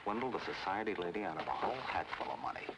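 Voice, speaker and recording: An actor playing a matter-of-fact, wry investigator in a 1940s film noir style. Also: male voice, deep voice, lively intonation, very fast. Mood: wry, businesslike. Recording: noisy old film soundtrack.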